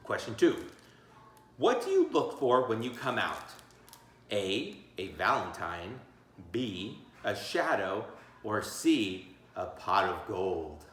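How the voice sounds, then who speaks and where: Man, teacher read-aloud, very expressive pitch swings, encouraging, chatty asides, echoey room. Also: takes it slowly, a little hiss or hum. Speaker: teacher reading a picture book aloud. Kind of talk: read-aloud storytelling with asides and questions to children.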